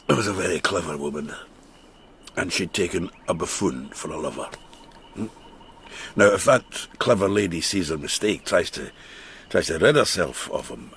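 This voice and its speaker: Deep, gravelly drunken farmer. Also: male voice, lively intonation, a bit bright, a little hiss or hum, heavily acted. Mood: brooding, menacing. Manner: slurred, halting, repeats himself, chuckling. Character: drunken Japanese farmer, boisterous teasing old friend. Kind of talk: radio drama acting.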